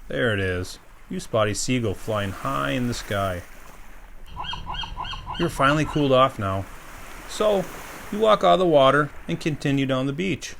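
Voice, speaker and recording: A park ranger reading a sensory story aloud to young children, gently asking them questions as he goes. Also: mid-range voice, creaky, slight background noise.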